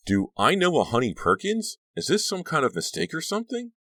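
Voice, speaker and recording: A man's voice: low-pitched, big pitch swings, moderate pace, fairly bright tone, no room sound, studio quality, voice pushed up. A man voicing an uneasy, bewildered teenage girl in an audiobook, politely hiding her doubts. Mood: bewildered, anxious. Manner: hesitant replies and anxious questioning.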